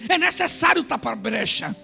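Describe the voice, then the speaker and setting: A man preaching a sermon, retelling scripture and shouting fervently. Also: extremely high pitch, animated, normal speed, vocal fry, faint music behind.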